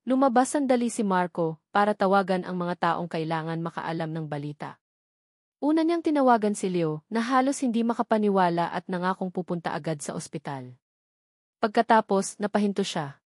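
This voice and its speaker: A woman reading a scripted romantic drama as a storyteller, in a measured, earnest way, phrase by phrase. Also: mid-range voice, no echo, studio quality.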